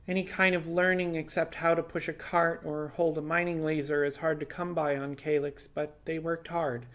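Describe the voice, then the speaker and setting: A man's voice: on the high side, voice pushed up, lightly acted. A man voicing a young female rabbit fugitive in audio fiction, resigned and apologetic, talking in a plainspoken, hesitant, confessional way. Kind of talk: audio fiction character dialogue.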